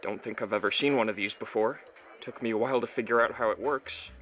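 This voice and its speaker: A man plays a confused, earnest Gen Z boy in a family audio drama. His voice is bright and crisp-edged, with a hesitant, polite delivery. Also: fairly low pitch, quick pace, soft background music, natural voice. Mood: curious, tentative.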